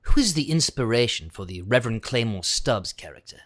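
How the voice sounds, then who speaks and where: A man of average pitch asks prepared interview questions. His intonation is lively and moves up and down a good deal. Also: brisk.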